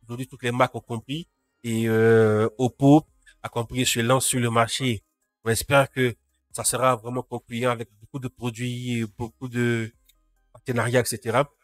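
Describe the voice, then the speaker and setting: Man with a cold, flat delivery. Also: medium pitch, normal speed, faint music behind. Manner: talks to live chat viewers, frequent restarts. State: has a cold. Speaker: tech news live streamer. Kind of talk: casual live tech news roundup.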